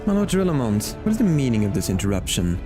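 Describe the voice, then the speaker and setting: A man's voice: mid-range voice, sing-song, fast talker, close to an everyday voice. A man voicing a haughty, scheming male inquisitor villain from a video game, delivered in a sneering, theatrical way, with game music behind. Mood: scornful.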